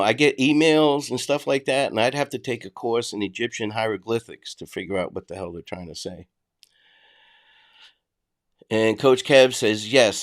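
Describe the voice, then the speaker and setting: A middle-aged man with a Boston accent, hosting a casual livestream, reading and answering chat in a blunt, conversational way. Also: mid-range voice. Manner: blunt, conversational, frequent restarts.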